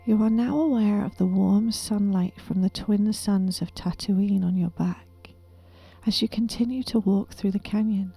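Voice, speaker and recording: A woman with a soft, breathy, whispery voice gently and soothingly guiding a meditation in a deliberate manner. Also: mid-range voice, faint music behind. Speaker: meditation guide. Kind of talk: guided meditation, soft half-whispered narration.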